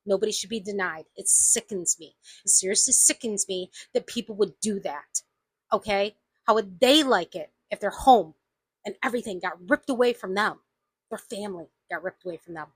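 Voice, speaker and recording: Indignant woman with a bright, edgy voice. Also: mid-range voice, breathy edge, no room sound. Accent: slight Southern US. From United States. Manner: indignant, emphatic, repeats herself. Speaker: vlogger. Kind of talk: angry opinion rant to camera.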